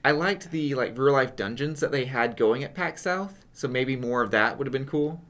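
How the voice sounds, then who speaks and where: A young man co-hosting a casual podcast, trading quick, jokey banter. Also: mid-range voice, fairly bright tone. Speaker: podcast co-host.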